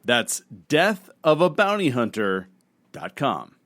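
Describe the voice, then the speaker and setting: A man's voice: medium pitch, big pitch swings, takes it slowly, fairly bright tone. A man reading a scripted promotional spot as an advertisement announcer.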